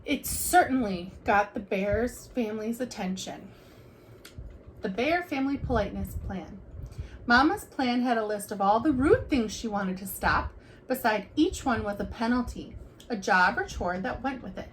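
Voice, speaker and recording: A woman reading a children's picture book aloud like a teacher, with expressive, deliberate delivery. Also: a little high, a little hiss or hum, rough recording.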